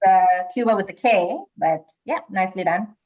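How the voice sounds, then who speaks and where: A woman hosts a quiz over a slightly muffled, lo-fi connection. She switches between reading out questions and casual moderating, in an average-pitched voice. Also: normal speed.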